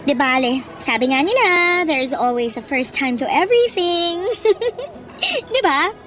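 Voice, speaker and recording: A woman's voice: sits high, animated, fairly bright tone, faint music behind, exaggerated voice, poor audio. A woman playing a pushy, vain, slangy female college student in a radio drama. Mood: sassy, teasing. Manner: sassy, slangy, cajoling, drawn-out words.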